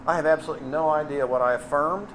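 Texan man preaching, clear background noise. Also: a little high, fairly bright tone, rough recording. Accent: Texas. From Texas, United States. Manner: conversational, emphatic, pausing on key phrases. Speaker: preacher and seminary teacher. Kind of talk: expository sermon with anecdotes.

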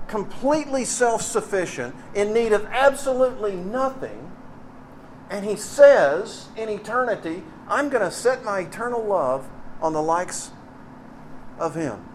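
Texan man preaching, clear background noise. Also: high voice, rough recording. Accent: Texas. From Texas, United States. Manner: conversational, emphatic, pausing on key phrases. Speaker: preacher and seminary teacher. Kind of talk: expository sermon with anecdotes.

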